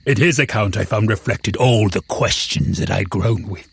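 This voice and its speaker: An actor playing a lonely, eloquent man-made creature, telling his tale in a solemn, brooding audio drama voice with a gravelly, husky edge. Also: fairly low pitch, normal speed, dry recording, exaggerated voice. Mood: brooding wonder. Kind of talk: dramatic first-person audio drama narration.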